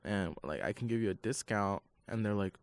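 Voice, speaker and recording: Laid-back young man, lowish voice. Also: fairly quick, no room sound. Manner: laid-back, chuckling, storytelling. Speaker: podcast co-host. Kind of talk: casual chat. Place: college radio studio.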